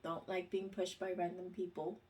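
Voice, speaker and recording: A woman vlogger reads questions aloud and answers them in a casual, halting way, with plenty of pauses and fillers. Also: lowish voice, level tone, darkish tone, far from the mic, slight background noise. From United States.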